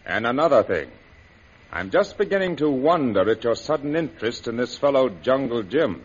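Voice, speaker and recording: An actor playing a menacing, imperious villain in a 1930s-style radio serial, on a muffled old recording. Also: male voice, mid-range voice, sing-song, normal speed, breathy edge. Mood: threatening. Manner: imperious, threatening. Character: menacing, domineering villain set on ruling China.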